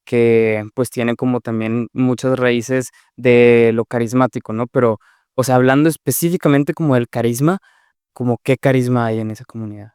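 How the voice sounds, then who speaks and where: A man's voice: medium pitch, steady tone, moderate pace, a little mellow, smooth, dry recording, studio quality. A man hosting a podcast, asking questions in a hesitant, casual way with many fillers. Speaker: podcast host.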